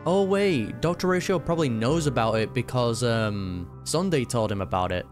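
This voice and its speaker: A man's voice: medium pitch, lively intonation, moderate pace, faint music behind. A man giving casual reaction commentary on a game, musing aloud with some hesitation.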